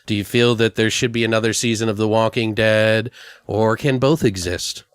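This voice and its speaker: A man's voice: on the low side, fairly even intonation, normal speed, clean tone, no room sound, studio quality. Casual, sweary male podcast host. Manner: informal, sweary, slangy. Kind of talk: casual chat about horror film news.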